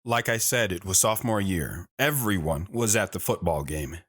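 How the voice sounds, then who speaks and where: A man's voice: low voice, expressive, moderate pace, fairly bright tone. A man playing a plain-spoken guy recounting his youth as first-person storytelling in an audio drama, earnest and matter-of-fact. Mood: earnest narrating.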